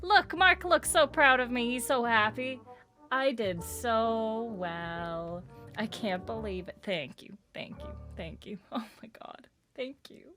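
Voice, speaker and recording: A woman's voice: on the high side, slow, sharp tone, soft background music. A woman reacting on a livestream in a casual, playful way, talking back to the video she watches. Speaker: streamer reacting to a horror series.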